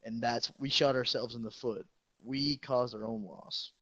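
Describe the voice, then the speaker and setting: A man's voice: mid-range voice, fairly even intonation, a little slow, a bit bright, dry recording. Dull lo-fi teenage guy swapping stories. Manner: profane, boastful, amused at own stories. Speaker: high school football player telling stories. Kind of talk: casual storytelling chat. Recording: dull lo-fi sound.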